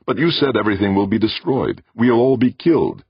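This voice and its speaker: A man's voice: fairly low pitch, brisk, husky, no echo, voice pushed up. A man playing a tense human leader who snaps and presses with urgent questions. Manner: snappish, urgent. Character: tense human leader facing a grave choice. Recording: dull dry recording.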